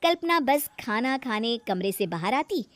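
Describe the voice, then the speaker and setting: A woman's voice: on the high side, animated, a little slow, no room sound. A woman narrating a scripted moral story as the storyteller.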